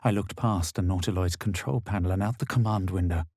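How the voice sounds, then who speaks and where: An actor playing a brooding male fantasy adventurer, narrating his visions for a video game in a measured, solemn way with a low, husky voice. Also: darkish tone, no room sound, clean studio sound. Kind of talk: dramatic first-person game narration.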